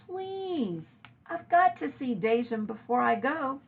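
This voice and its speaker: A woman's voice: high-pitched, sing-song, takes it slowly, vocal fry, breathy edge, voice pushed up, exaggerated voice. A woman voicing an earnest, emotional young girl who exclaims and pleads, in a storybook read-aloud. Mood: pleading. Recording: narrow, phone-like lo-fi audio.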